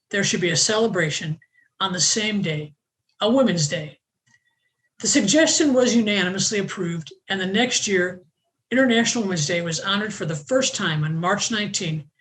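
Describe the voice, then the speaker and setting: A woman's voice: medium pitch, low-quality recording. Woman host reading a scripted welcome. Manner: measured, reading from a prepared script.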